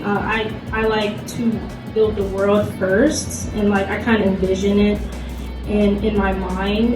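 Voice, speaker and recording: Female convention panelist, mid-pitched, informal and filler-heavy delivery, recorded at a distance in a reverberant room. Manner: hesitant, conversational, frequent "like" as filler. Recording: echoey room from far off, music behind.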